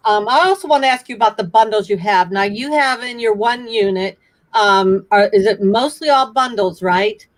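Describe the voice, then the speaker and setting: A woman's voice: mid-range voice, normal speed. An older woman hosting a casual live video chat, greeting viewers by name and asking the guest questions. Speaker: host.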